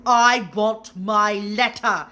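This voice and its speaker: A stubborn, indignant boy, voiced by a woman reading aloud, a touch higher than her own voice. He presses his point with angry insistence. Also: very animated, a little slow, a bit bright. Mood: insistent.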